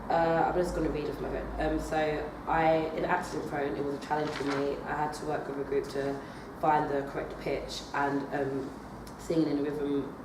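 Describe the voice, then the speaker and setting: Young woman, drama student, low voice, hesitant with fillers, distant noisy echoey recording. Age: young adult. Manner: hesitant, many fillers and restarts. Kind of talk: assessment interview answers. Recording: echoey room, far from the mic, noisy.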